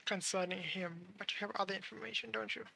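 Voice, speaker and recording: A woman's voice: medium pitch, steady tone, quick pace, creaky, sharp tone, slight background noise. A woman game streamer giving casual commentary, musing and speculating aloud as she plays.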